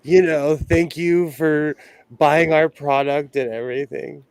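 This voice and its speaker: Higher-pitched man, casual livestream chat. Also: fairly even intonation, a little slow, a little mellow, smooth, no room sound, rough recording. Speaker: host of a sewing livestream.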